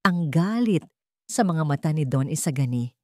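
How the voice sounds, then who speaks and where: A woman with a Filipino accent reading a scripted dramatic story in a measured, storytelling manner. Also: deep voice, lively intonation, dark tone, dry recording, clean studio sound. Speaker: storyteller, narrator.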